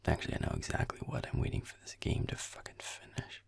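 A young man playing a grumpy, sardonic werewolf boyfriend in an intimate audio roleplay, muttering deadpan in a breathy, gravelly murmur as he games. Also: quick pace, no echo, whispered, lightly acted.

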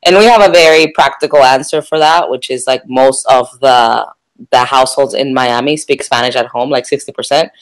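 A woman museum curator speaking on a panel over a video call, halting, with many ums and restarts. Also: rumbling low, rough recording. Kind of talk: unscripted panel discussion.

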